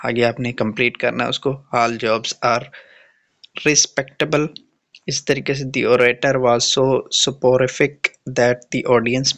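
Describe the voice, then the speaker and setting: A man's voice: medium pitch, fairly bright tone. A man with a Pakistani accent giving an informal, matter-of-fact exam-prep explainer, mixing in English phrases and reading questions aloud.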